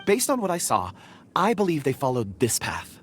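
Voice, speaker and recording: A man's voice: medium pitch, wildly swooping pitch, moderate pace, a little hiss or hum. An actor playing a polite, modest young man in a video game, earnest and a little hesitant. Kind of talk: video game character acting.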